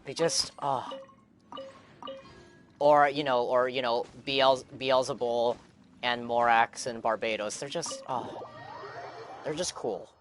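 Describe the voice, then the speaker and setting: A man reacting casually on a game livestream, speaking earnestly and a little haltingly as he gathers his thoughts. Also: mid-range voice, fairly even intonation, soft background music. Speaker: game streamer.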